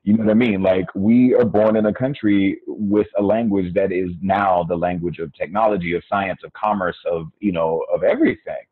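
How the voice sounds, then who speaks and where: A man's voice: low-pitched, fairly even intonation, moderate pace, few pauses, no room sound. A middle-aged American man, a podcast guest, talking reflectively over an earphone mic on a video call from a small room, with many fillers and restarts. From United States. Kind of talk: casual conversation. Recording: phone-like narrow sound, dry.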